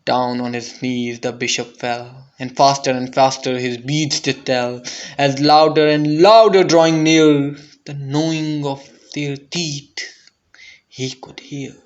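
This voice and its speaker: Slow, theatrical man reciting narrative verse. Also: medium pitch, fairly even intonation, muffled recording. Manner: theatrical, deliberate, swelling from hushed to intense. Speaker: poetry reader. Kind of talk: dramatic narrative poetry recital.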